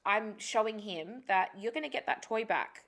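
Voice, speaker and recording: A woman's voice: mid-range voice, normal speed, vocal fry, a bit bright. A woman whose first language is Spanish, co-hosting a casual podcast, thinking aloud candidly and swearing freely. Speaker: podcast co-host.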